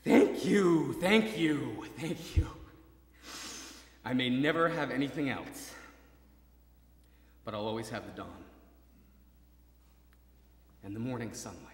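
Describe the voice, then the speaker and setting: An actor playing a maimed young soldier, desperately hopeful, in a halting, intense dramatic monologue that moves between murmurs and cries. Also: male voice, mid-range voice, animated. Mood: ecstatic. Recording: reverberant hall, stage performance.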